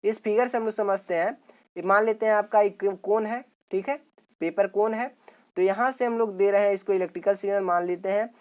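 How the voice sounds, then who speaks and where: A man's voice: sits high, fairly even intonation, a little fast, darkish tone. Man teaching in a repetitive explanatory way. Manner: repetitive explaining with frequent check-in tags. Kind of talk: informal technical video lecture.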